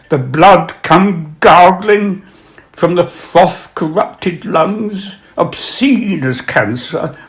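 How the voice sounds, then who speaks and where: A man presenting and reading literature aloud, with a measured, dramatic delivery when reciting. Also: on the high side, a bit bright. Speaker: reader and presenter of poems and prose. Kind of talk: literary recital with spoken introductions.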